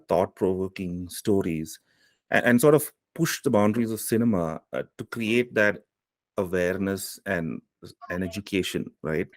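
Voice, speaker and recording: Deep-voiced interviewer, dry sound. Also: male voice, a little mellow, no echo. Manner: hesitant, with many fillers, ending questions on "right?". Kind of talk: interview questions.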